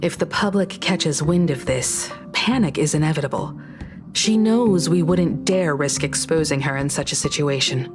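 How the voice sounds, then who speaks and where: A woman's voice: sits low, moderate pace, lightly acted. A woman voicing a composed, level-headed female ally in an anime game, serious and analytical. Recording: game music behind.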